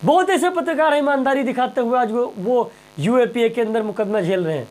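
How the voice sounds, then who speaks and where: A man's voice: very high-pitched, a little fast, darkish tone, slight background noise. A man presenting impassioned news commentary straight to viewers, emphatic and rhetorical, repeating phrases and questions.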